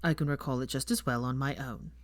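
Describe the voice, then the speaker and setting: A woman's voice: a bass voice, fairly even intonation, fast talker, no echo, voice pushed down. A woman voicing a dry, tenderly teasing young man in an audiobook story, murmured and clipped. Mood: dry, embarrassed. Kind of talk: fan fiction audiobook dialogue.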